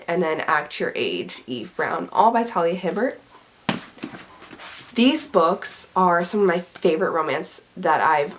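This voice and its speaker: Slightly raspy, chatty young woman. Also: fairly low pitch. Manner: chatty, rambling, lots of "like" and "um". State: slightly raspy and scratchy from being sick. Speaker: book vlogger. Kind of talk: casual chat to camera.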